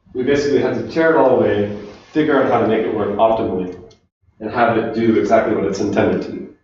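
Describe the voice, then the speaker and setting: Man from finland, technical meetup talk, relaxed, echoey hall, distant mic, dull sound. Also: fairly low pitch, slight background noise. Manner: relaxed, chatty with the audience. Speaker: conference speaker. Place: large echoey meetup room. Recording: distant, roomy, dull room recording.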